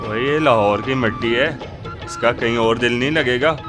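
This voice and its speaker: A man's voice: medium pitch, moderate pace, music in the background, close to an everyday voice. A man playing a brash, friendly neighbourhood barber in old Lahore, bantering colloquially in a radio drama.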